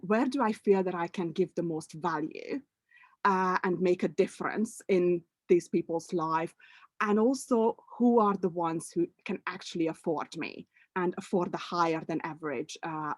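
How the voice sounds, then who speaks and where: A middle-aged woman from Hungary, a native Hungarian speaker, gives an online presentation about her coaching business. Her voice sits fairly low for a woman, and the sound is dry and close, as over a video call mic. She hesitates often, filling gaps with "uh" and restarting her phrases. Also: no echo. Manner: hesitant, many fillers and restarts. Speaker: language coach presenting a webinar.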